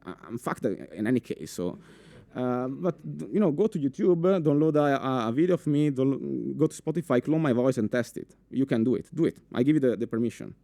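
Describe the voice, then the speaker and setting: A young man with an Italian accent giving a live conference talk in a full room, animated, with many fillers and restarts, asking the audience questions. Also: mid-range voice, fairly quick, gravelly, hardly any pauses, dry recording. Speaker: conference speaker.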